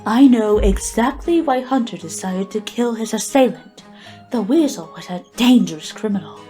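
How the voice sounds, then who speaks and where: Lady voicing a calm know-it-all spirit witness, mellow highish voice, audio drama. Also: normal speed, soft background music, lightly acted. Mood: matter-of-fact. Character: knowing female spirit witness, composed and confident. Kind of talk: amateur audio drama acting.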